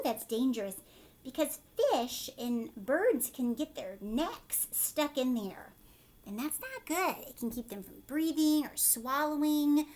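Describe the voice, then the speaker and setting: Female teacher, higher-pitched voice, children's read-aloud with explanatory asides, instructive and inclusive of young listeners. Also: darkish tone. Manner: instructive, checks in with listeners using simple questions. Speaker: teacher reading a picture book aloud to children.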